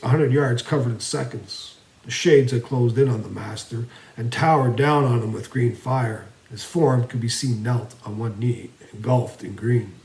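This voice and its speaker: A man narrating a fantasy story theatrically, swinging between emphatic and hushed delivery. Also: medium pitch. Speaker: storyteller reading own fantasy series. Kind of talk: dramatic fantasy audiobook narration. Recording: roomy, echoing voice recording.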